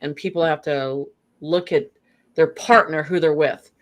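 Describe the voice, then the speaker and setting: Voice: medium pitch, steady tone, a little slow. A guest speaking earnestly in a casual chat, rambling with many fillers and restarts.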